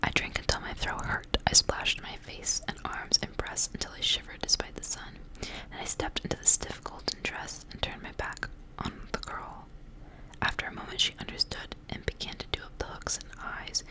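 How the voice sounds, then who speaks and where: A woman's voice: moderate pace, rough recording. Whispering woman reading ASMR. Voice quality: soft breathy whisper. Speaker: ASMR host reading a storybook aloud. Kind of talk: whispered ASMR story reading with casual asides.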